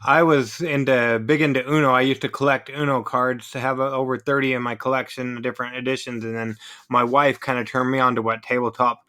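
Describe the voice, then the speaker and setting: A man's voice: medium pitch, level tone, a little fast, bright, forceful tone. A man hosting a podcast, chatting casually and conversationally with his guests. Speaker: podcast host. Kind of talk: casual interview chat.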